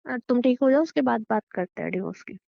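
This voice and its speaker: A woman's voice: on the high side, expressive, fast talker, gravelly, heavily acted. A woman playing a stubborn, guilt-ridden wife in a relationship audio drama, speaking firmly, insistently and curtly. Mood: firm, concerned. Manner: firm, insistent, curt. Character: stubborn, guilt-ridden wife refusing to abandon her husband. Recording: dry, dull lo-fi sound.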